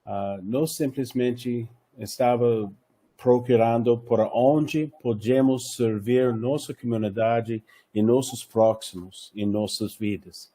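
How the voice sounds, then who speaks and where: Slow, halting missionary. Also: male voice, mid-range voice, fairly even intonation, mellow. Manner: halting non-native Portuguese, many fillers. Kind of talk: sharing a testimony in class.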